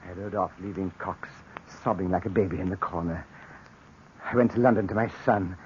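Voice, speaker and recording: An actor playing a scheming captain and former stage actor turned murderer in a 1940s radio drama, blustering and cracking into frantic shouts. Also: male voice, brisk, noisy background, lightly acted. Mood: brooding, hushed. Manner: blustering, grows frantic and shrill. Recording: dull old radio broadcast recording.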